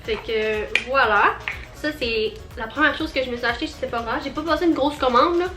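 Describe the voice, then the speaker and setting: Bubbly high-voiced Quebec woman. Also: a little fast, roomy sound, over music. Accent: Quebec French. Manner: chatty, bubbly, rambling with fillers. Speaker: vlogger. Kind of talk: casual haul vlog.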